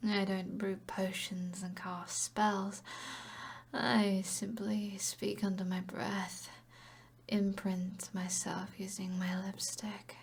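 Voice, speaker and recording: Evil sorceress played by a woman, British accent, husky half-whisper, slow and possessive, alluring ASMR delivery. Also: mid-range voice, exaggerated voice. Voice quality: breathy, whispery. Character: alluring, commanding evil sorceress, a seductive witch. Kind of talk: ASMR roleplay.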